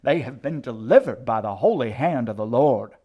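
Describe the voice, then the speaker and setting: A man voicing a fanatical, hate-filled old woman who preaches righteously in a loud, grating voice for a story. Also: medium pitch, lively intonation, normal speed, phone-quality sound, pitched above the performer's own voice, lightly acted. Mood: furious preaching. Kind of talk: audiobook character acting.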